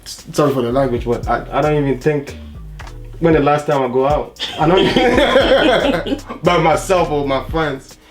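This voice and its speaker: A young man co-hosting a casual couple's video, soft-spoken and halting, with many pauses, and his voice is going as he gets sick. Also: a little high, lively intonation, over music. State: losing his voice, getting sick. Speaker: vlog co-host.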